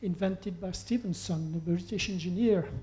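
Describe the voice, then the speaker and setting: A man from Belgium stands up from the audience to put a careful, drawn-out question to a panel. His voice is mellow and darkly toned, and he repeats key points for emphasis. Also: high-pitched. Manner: slow, deliberate, repeats for emphasis. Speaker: audience member asking a question. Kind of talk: formal question at a panel event.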